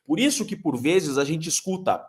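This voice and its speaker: A man's voice: fairly high pitch, animated, normal speed. A man, a lawyer explaining legal matters emphatically, posing rhetorical questions as he lectures. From Curitiba, Brazil. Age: 30s.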